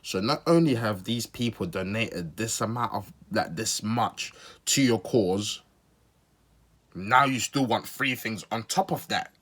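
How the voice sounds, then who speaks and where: A man's voice: on the low side, lively intonation, measured pace, a bit bright. A man from Zimbabwe giving casual reaction commentary as a podcast host, incredulous and questioning, repeating his points for emphasis.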